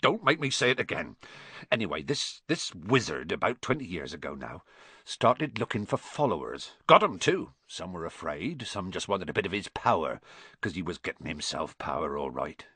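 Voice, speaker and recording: Storybook giant: gruff, kindhearted, hot-tempered, dropped consonants, husky and forceful. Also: male voice, low voice, big pitch swings, dry recording, voice pushed down, heavily acted. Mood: uneasy, grave. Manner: folksy dialect, dropped consonants, halting.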